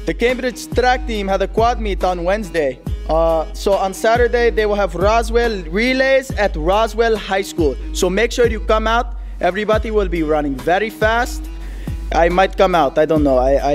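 A man voicing an excitable, cocky puppet sportscaster who rambles in slangy, emphatic comic commentary. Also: high voice, normal speed, soft background music, close to an everyday voice. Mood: cocky, excited. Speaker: sports segment host.

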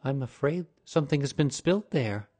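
A man doing a shrill, shocked genteel mother. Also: animated, normal speed, darkish tone, natural voice.

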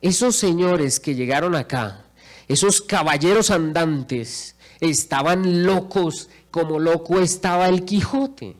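A man's voice: a little high, takes it slowly. Fervent Colombian man lecturing on stage. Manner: rhetorical, repeats phrases for emphasis, paces the stage. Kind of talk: impassioned public speech. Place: large auditorium stage. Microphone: handheld microphone.

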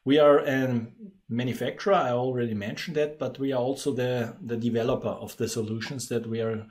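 Austrian guy giving a webinar talk, mid pitch, lots of uh and um. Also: steady tone, fairly quick, a little mellow. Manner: explanatory, many fillers and restarts. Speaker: webinar presenter. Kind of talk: business webinar presentation.

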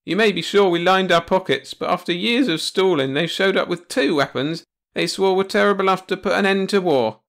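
An irritable alien sloth king, acted out by a man for a comic sci-fi story. The voice is pitched high and loud, whining and blubbering theatrically as it complains. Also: a little fast, creaky, few pauses. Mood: tearful complaining.

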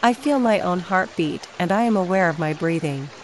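A woman with a slightly low voice reads guided meditation affirmations. Her phrasing is measured and deliberate. A faint layer of noise and trickling, watery ambience sits behind her. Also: animated, poor audio.

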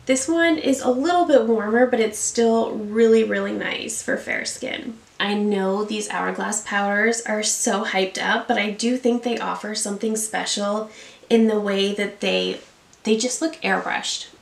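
A woman's voice: mid-range voice, measured pace, vocal fry, roomy sound. A woman in her thirties with a congested cold voice, reviewing and applying makeup in a chatty, enthusiastic way. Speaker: beauty vlogger. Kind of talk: product review and makeup tutorial. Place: indoor room, seated at a plain backdrop.

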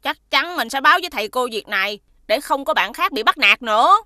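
A woman voicing a mischievous, feisty but loyal young schoolboy in an animated children's cartoon style. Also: sits high, brisk, no echo, studio quality, full character voice. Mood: reassuring. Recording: music behind the voice.

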